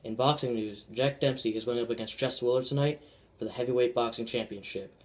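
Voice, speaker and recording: A man's voice: mid-range voice, fairly even intonation, fairly quick, close to an everyday voice. A man playing an upbeat 1920s radio sports announcer, reading scripted news.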